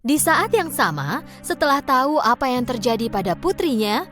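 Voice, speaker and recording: Female narrator for a children's cartoon, expressive storybook reading with a lively, fairly high voice. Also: normal speed.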